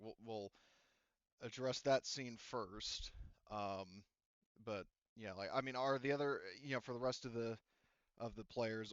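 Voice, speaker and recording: A man's voice: on the low side, fairly bright tone, clean tone, dry recording, lo-fi sound. A man running a tabletop roleplaying game as game master, rambling with many fillers and restarts.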